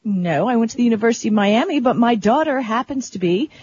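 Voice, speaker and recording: A woman's voice: a little high, lively intonation, quick pace, darkish tone, highs cut off, slight background noise. A middle-aged American woman co-hosting a radio show, chatting informally with plenty of slang. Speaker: radio co-host. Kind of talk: casual co-hosted radio chat.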